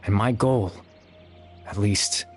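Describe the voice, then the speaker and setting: A man voicing a young man who exists only in memory, calm and apologetic, speaking in a measured, quiet, explanatory way. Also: fairly low pitch, soft background music. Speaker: game character. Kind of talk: video game voice acting.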